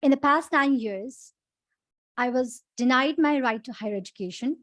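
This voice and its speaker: A woman's voice: sits high, moderate pace, no room sound. A young woman with a Nepali accent gives an earnest prepared public speech as an activist. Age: young adult. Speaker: activist and human rights lawyer. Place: conference podium.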